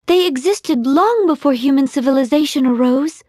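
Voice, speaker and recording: High-voiced, solemn young priestess. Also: female voice, lively intonation, a little mellow, dry recording, studio quality. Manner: formal, measured, earnest. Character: solemn, devout young priestess, formal and earnest. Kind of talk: video game voice acting.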